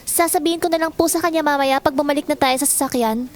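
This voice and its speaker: A woman's voice: sits high, fairly even intonation, fast talker, close to an everyday voice. A woman playing a schoolgirl daughter with a Filipino accent in an audio drama, polite on the surface and bitter underneath. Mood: guarded, polite. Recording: music bed behind the voice.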